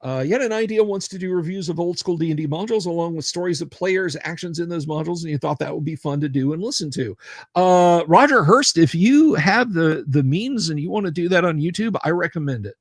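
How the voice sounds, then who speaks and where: A man's voice: on the high side, expressive, brisk, no echo, clean studio sound. A man hosting a casual livestream, rambling, repeating his words and making sarcastic asides while reading viewer comments. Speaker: livestream host.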